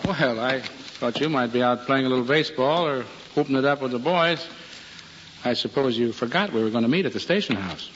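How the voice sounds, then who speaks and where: A man's voice: mid-range voice, brisk, a bit bright, lightly acted. A man playing a kindly, teasing middle-aged policeman father with an American accent, in old-time radio drama style. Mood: genial. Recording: dull, hall-like vintage radio recording, noisy.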